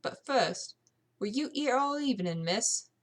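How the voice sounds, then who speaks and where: A woman's voice: a little high, lively intonation, unhurried, voice pushed up. A woman portrays a businesslike English policeman in a period storybook mystery. The delivery is formal and probing, with a skeptical edge and a put-on British accent. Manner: formal, inquisitive. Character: brisk, skeptical male police officer questioning servants.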